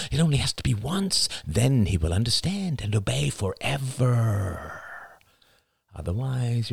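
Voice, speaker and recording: A man's voice: mid-range voice, creaky, husky, no echo, clean studio sound, pitched above the performer's own voice. A man voicing the nagging inner voice of a stern mother, urging and insistent.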